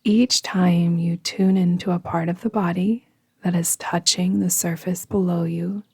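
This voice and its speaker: Slow, breathy woman guiding meditation. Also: fairly low pitch, fairly even intonation. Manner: soothing, gentle, deliberate, often trailing into breathy whisper. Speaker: yoga nidra guide. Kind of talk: guided meditation narration.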